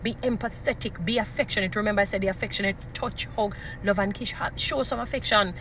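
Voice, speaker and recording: A woman's voice: medium pitch, quick pace, a bit bright. Strong-voiced Jamaican woman over background noise. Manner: emphatic, preachy, repeating short phrases for emphasis. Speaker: relationship advice speaker. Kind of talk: informal motivational advice talk.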